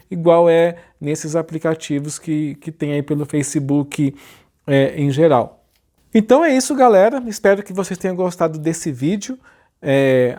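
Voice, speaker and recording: A man in his forties with a Brazilian Portuguese accent, hosting a design tutorial channel in a chatty, informal way and often adding tag questions, speaking from a small dark room. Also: on the high side. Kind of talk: casual talk to camera.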